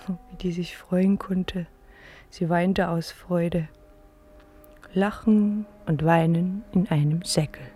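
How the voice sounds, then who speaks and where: A woman's voice: on the low side, fairly even intonation, takes it slowly, mellow, a little hiss or hum. An actress playing an earnest, dreamy working woman in a German radio play, speaking simply and hesitantly. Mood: wistful, reflective. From East German mountain village. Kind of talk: German radio play acting, intimate monologue and dialogue.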